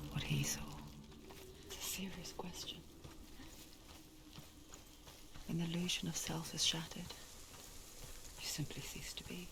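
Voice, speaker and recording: A woman voicing a calm, ominous inner narrator in a game, in a hushed, breathy, intimate and deliberate delivery. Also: low voice. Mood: ominous, knowing. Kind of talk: whispered dramatic game narration. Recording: music under the voice. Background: prominent music.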